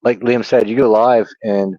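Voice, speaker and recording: A man's voice: on the low side, fairly even intonation, moderate pace, no echo. A man co-hosting a casual livestream chat, with a slight lisp and occasional stutter, rambling with many fillers. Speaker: co-host. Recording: dry, dull lo-fi audio.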